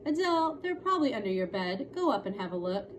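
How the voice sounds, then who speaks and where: A woman's voice: a little high, very animated, fast talker. A woman voicing a firm, emphatic, exasperated mother in a storybook reading. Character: firm, exasperated mother managing squabbling children. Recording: clearly noisy room recording.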